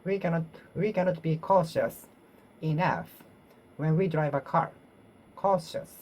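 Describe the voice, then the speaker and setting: A woman's voice: low voice, darkish tone. A woman with a Japanese accent practicing English alone, repeating phrases over and over and correcting herself as she goes. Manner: repeats and reworks phrases, pausing and self-correcting. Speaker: English learner. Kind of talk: solo speaking practice, talking to herself.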